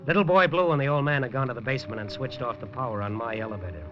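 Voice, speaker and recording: A man's voice: medium pitch, very fast, faint music behind, natural voice. A man playing a hard-boiled reporter narrating. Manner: dry, wisecracking, terse. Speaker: lead narrator. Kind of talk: 1950s radio drama narration. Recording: dull 1950s radio broadcast.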